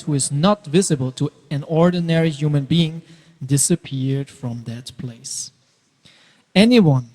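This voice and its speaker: A man's voice: on the high side, darkish tone, poor audio. A man narrating scripture in a formal, scripted dramatic reading.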